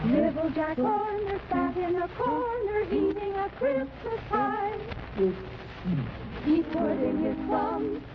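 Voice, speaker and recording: Over loud music, a small child recites nursery rhymes. The voice is extremely high and drawn out very slowly, singsong and childlike, on an old radio recording. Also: female voice, expressive, highs cut off, full character voice.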